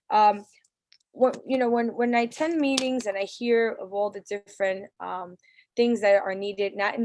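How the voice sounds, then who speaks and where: A woman's voice: medium pitch, no echo. A woman from New City, leading a parent council meeting over a video call in a casual, earnest way with frequent restarts. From New York City. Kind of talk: virtual community meeting talk.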